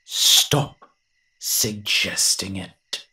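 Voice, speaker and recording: A man voicing a grumbling, reluctant invisible monster with a secret soft heart in an audio roleplay, dry and sardonic. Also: low-pitched, very slow, a little hiss or hum, full character voice. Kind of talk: scripted character monologue, audio roleplay.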